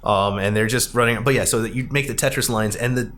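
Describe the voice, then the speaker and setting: Animated man hosting a casual podcast. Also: mid-range voice, quick pace. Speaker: podcast host. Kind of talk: casual movie discussion.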